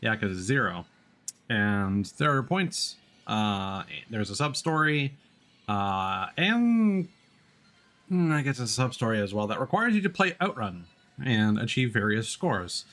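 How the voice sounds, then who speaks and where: A man's voice: lowish voice, sing-song, a little slow, fairly bright tone. A man thinking aloud in an informal, self-deprecating way while commentating on a gaming livestream. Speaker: video game live streamer. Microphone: headset microphone.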